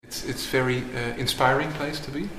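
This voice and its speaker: A man's voice: medium pitch, fairly even intonation, normal speed, bright, forceful tone, echoey room, long reverb tail, a little hiss or hum, rough recording. A man, a theatergoer, speaking hesitantly with fillers in a casual interview.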